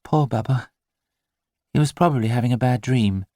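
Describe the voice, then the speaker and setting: A man voicing a practical, anxious but gentle new mother in an audiobook, matter-of-fact and a little defensive. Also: medium pitch, moderate pace, no room sound, studio quality, pitched above the performer's own voice, natural voice. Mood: soothing. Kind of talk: audiobook character dialogue.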